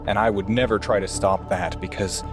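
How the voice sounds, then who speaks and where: Man playing a low-voiced, earnest investigator. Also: fairly even intonation, normal speed, natural voice. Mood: earnest, grave. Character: earnest, weary male private investigator pleading his case. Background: music behind the voice.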